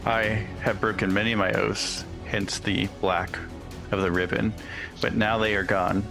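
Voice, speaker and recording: A man's voice: lowish voice, level tone, measured pace, vocal fry, over music. A man playing a tabletop roleplaying game, describing his moves and speaking for his character. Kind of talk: casual in-game action description and in-character speech.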